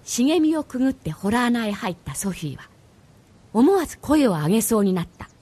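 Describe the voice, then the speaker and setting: Lively-pitched female storyteller. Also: moderate pace, breathy edge. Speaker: narrator. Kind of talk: scripted radio drama narration.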